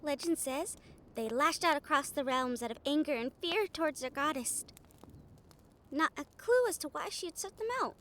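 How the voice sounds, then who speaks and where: A woman playing a kind, excitable young harpy healer in an audio roleplay, with a gentle, earnest, bubbly manner. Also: high-pitched, normal speed, a little hiss or hum. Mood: eager storytelling.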